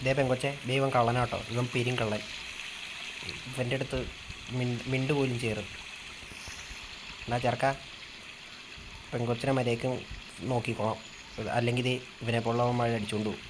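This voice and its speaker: A man with a medium-pitched voice streams a role-play game. He banters casually and combatively, teasing and repeating himself. Clear background noise runs through the recording. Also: level tone, a little fast, long pauses. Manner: teasing, confrontational, repeats words in bursts.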